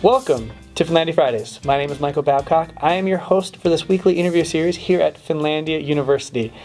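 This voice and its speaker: A man's voice: a little high, quick pace, a little mellow, soft background music. A man hosting a weekly interview series, chatty and casual, with lots of fillers and restarts. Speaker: host.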